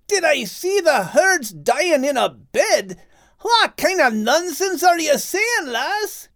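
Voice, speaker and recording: Cheery dim scottish cartoon dog, high voice, super bouncy pitch, music behind. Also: very animated, slow, a bit bright, no room sound, clean studio sound, exaggerated voice. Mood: loud, puzzled. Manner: folksy, ungrammatical, hearty. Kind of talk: animated children's audio drama.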